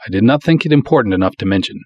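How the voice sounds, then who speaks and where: A man's voice: fairly low pitch, lively intonation, very fast, a little mellow, muffled recording. A man voicing a small, sly foreign scholar, unctuous and formally polite, in a pulp storybook reading. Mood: smoothly apologetic. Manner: formal, courtly.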